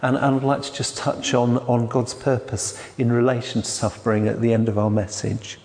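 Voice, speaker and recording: A man, a church elder giving a sermon in a quiet, hesitant way with many restarts. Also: medium pitch, little pitch movement, normal speed. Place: church hall. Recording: long hall reverb.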